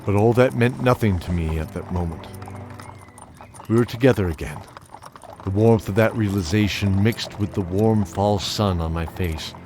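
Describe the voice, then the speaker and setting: An actor playing a weary, wistful old knight who tells his tale in measured, theatrical fantasy audio drama. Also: on the low side, darkish tone, lightly acted. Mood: wistful, earnest. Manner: measured, theatrical, chuckling reminiscence. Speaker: narrator and lead character. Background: music under the voice.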